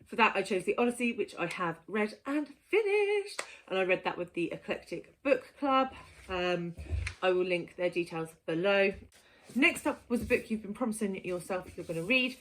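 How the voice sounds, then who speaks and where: A woman's voice: medium pitch, lively intonation, moderate pace, fairly bright tone, poor audio. A woman in her thirties chatting casually to camera as a book vlogger, in a giggly, self-mocking manner.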